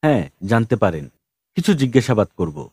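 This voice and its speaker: A man's voice: fairly low pitch, big pitch swings, normal speed, dark tone, breathy edge, no echo, clean studio sound. Man voicing an irritable, curt police chief. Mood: curt questioning. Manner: curt, questioning. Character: irritable male police station chief, gruff and curious.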